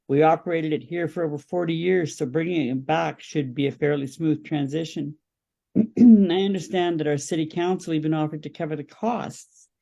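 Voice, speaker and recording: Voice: mid-range voice, gravelly, mellow. A podcast guest, speaking as a political campaign representative and explaining positions in an interview.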